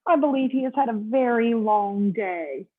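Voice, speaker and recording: A woman's voice: on the high side, lively intonation, normal speed, voice pushed up. A woman voicing a polite, accommodating male hotel bellhop in a storybook reading, with a courteous sing-song delivery on tinny phone-like audio.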